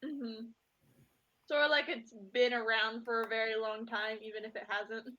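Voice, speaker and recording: A woman's voice: on the high side, little pitch movement, normal speed, sharp tone, muffled recording. A woman playing a tabletop game, chatting casually and thinking aloud about what her character will do.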